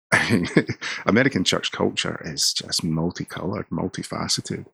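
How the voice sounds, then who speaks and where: A man's voice: low voice, steady tone, moderate pace, vocal fry, sharp tone, slightly rough, dry recording. A middle-aged Scottish man, a pastor, talking earnestly in an interview and often repeating words as he starts a sentence. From Scotland. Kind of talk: conversational interview.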